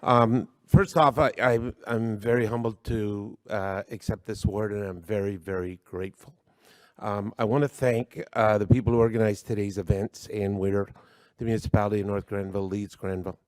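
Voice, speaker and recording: A man's voice: lowish voice, steady tone, normal speed, no room sound. A middle-aged man giving a humble award acceptance speech at a podium microphone, with many fillers. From Canada. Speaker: award recipient. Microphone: podium microphone, spoken into closely.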